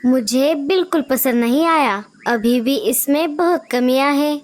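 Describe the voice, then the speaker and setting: A woman's voice: high-pitched, measured pace. A woman voicing a nagging young female sparrow wife in a children's cartoon, with a whiny, complaining manner. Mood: scolding. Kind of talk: cartoon voice acting.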